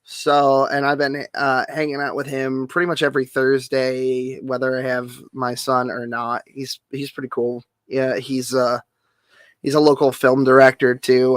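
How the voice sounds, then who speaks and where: Nasal, hoarse man rambling casually. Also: mid-range voice, completely flat delivery, measured pace, a bit bright, no echo, studio quality. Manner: rambling, swears casually, many fillers. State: hoarse, losing voice. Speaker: streamer.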